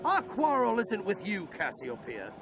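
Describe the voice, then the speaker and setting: A man's voice: sits high, wildly swooping pitch, measured pace, very noisy, exaggerated voice. A man playing a stern alien hero in a video game, making firm demands. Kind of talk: animated game cutscene acting.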